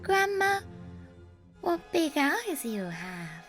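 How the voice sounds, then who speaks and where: Innocent little storybook girl: sweet, polite, very high, lilting widely. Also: very animated, drawn-out words, fairly bright tone, faint music behind, full character voice. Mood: puzzled, amazed. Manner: polite, wide-eyed. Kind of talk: children's audio fairy tale.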